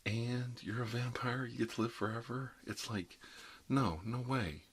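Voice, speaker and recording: A man's voice: medium pitch, a little slow, husky. A middle-aged man doing an ASMR roleplay as a dentist, chatting casually in a rambling, jokey, one-sided conversation peppered with "you know". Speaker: ASMR performer playing an emergency dentist.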